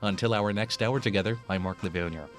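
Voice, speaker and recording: A man hosting a program of old radio shows, speaking in a smooth announcer manner. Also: fairly low pitch, quick pace, faint music behind. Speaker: host.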